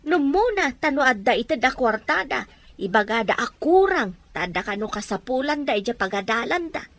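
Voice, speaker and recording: A highish voice that swoops freely. A woman plays a practical country mother in a radio drama, nagging and questioning her household. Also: very animated, moderate pace, fairly bright tone. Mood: resigned explaining. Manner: scolding, questioning. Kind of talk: family radio-drama acting.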